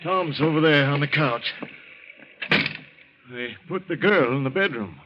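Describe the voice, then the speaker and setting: An actor playing a folksy, plain-spoken and helpful rural householder in an old-time radio drama. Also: male voice, on the high side, animated, a little slow, slight background noise. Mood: anxious. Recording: muffled old radio recording.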